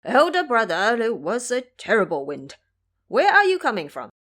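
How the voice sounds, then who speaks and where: A woman voicing an anxious, foolish male pig-monk sidekick in an audio drama, loud and fretful. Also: a little high, wildly swooping pitch, moderate pace, exaggerated voice. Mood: worried. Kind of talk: audio drama character dialogue.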